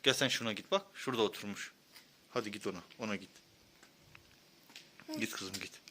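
A man's voice: lowish voice, moderate pace, bright, forceful tone. A man talking casually to a young child as a parent, coaxing in short, repeated prompts. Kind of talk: casual child-directed chat. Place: outdoors near animals. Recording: noisy amateur outdoor video.

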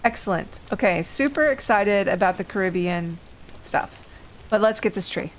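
A woman's voice: mid-range voice, expressive, normal speed, slight background noise, low-quality recording. A woman hosting a casual let's play, thinking aloud unhurriedly while getting over an illness. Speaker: gaming video host.

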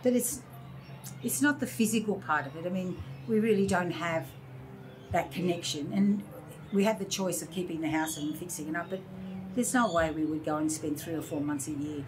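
A woman's voice: fairly low pitch, moderate pace, noisy background. A woman in her sixties with an Australian accent, reminiscing in a casual interview and acting out the voices of people she quotes. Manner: animated storyteller, acts out quoted voices. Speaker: interview guest. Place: indoor room by large windows.

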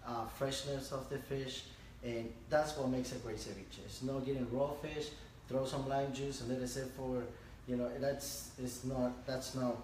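A man's voice: medium pitch, fairly even intonation, moderate pace, hardly any pauses, a little mellow, slight background noise. Man chef talking from far in an echoey room. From Palm Beach area, Florida. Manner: hesitant, many fillers. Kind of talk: cooking demonstration. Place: restaurant kitchen. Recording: echoey restaurant, far from mic.

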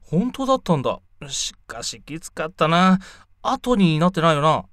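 Man as flippant schoolboy turncoat, casual, lively swinging pitch, close dry sound, amateur audio drama. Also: moderate pace, no echo. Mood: cheeky. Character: cheeky, offhand schoolboy turncoat.

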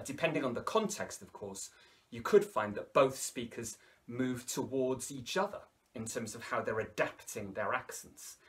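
A Welsh man in his thirties with a Welsh accent, teaching a language lesson to camera with lively hand gestures and wry asides, recorded indoors against a plain wall. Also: mid-range voice. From Wales. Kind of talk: educational video lecture to camera.

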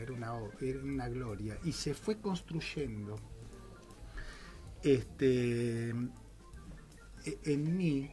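A man's voice: medium pitch, dark tone. A middle-aged man with an Argentine accent, a playwright guest talking hesitantly and reflectively in a radio interview. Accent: Argentine Spanish. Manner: reflective, hesitant, many fillers. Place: small radio studio. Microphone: studio microphone with headphones.

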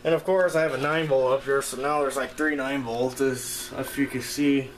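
A man's voice: mid-range voice, steady tone, normal speed. A man giving an informal hands-on demonstration of a homemade gadget, speaking from behind a handheld camera in a small bedroom. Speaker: video creator showing a homemade gadget. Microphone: handheld camera, operator behind it. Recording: noisy handheld camera with background hum.

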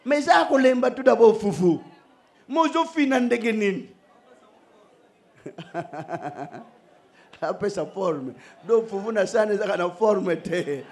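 A middle-aged man preaching a sermon into a stand microphone on an outdoor church stage, animated and joking, swinging between shouts and soft asides. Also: sits high, takes it slowly, long pauses, some chatter behind.

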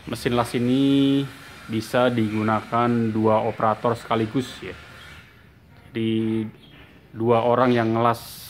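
A man with an Indonesian accent giving a casual, explanatory product demonstration, repeating key points. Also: lowish voice. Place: indoor workshop. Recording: clear workshop hum and ambient noise.

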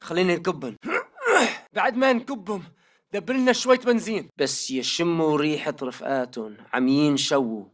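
An actor playing a ruthless militant fighter in a video game, speaking Syrian Arabic. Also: male voice, fairly high pitch, big pitch swings, moderate pace. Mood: gruff, businesslike. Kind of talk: video game battle dialogue.

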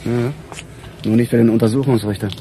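An actor playing a weary middle-aged police chief in a radio crime drama, with a dry, musing, sardonic manner. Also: male voice, mid-range voice, fairly even intonation, brisk, warm tone, a little hiss or hum, close to an everyday voice.

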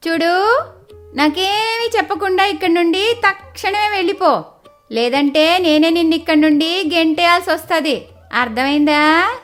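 A woman voicing a spiteful, scornful village woman in an animated moral story, sneering and scolding sharply. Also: extremely high pitch, normal speed, soft background music, pitched above the performer's own voice. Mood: angry, scolding.

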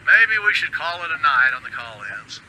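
An actor playing a wry male radio producer making quick asides to the host. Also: on the high side, sing-song, bright, forceful tone, exaggerated voice, low-quality recording. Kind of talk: video game voice acting.

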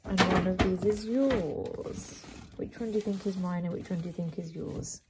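A woman chatting casually with a young child in a gentle, coaxing, reassuring way, indoors in a home kitchen. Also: fairly low pitch, moderate pace. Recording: noisy room, handling and crinkling sounds.

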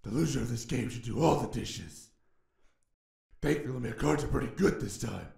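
A man voicing a hot-tempered, foul-mouthed god of war in a comic dub, with a gravelly voice that moves between grumbling and yelling. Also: mid-range voice, lively intonation, reverberant. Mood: smug. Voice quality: gravelly, creaky. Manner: swings from slow grumbling to sudden yelling. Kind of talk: comic dub character acting.

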